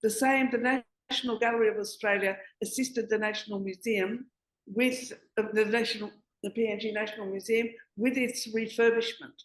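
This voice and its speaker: A woman's voice: a little high, steady tone, normal speed, roomy sound, rough recording. A woman speaking as a panelist, earnest, correcting herself as she goes. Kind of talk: panel discussion.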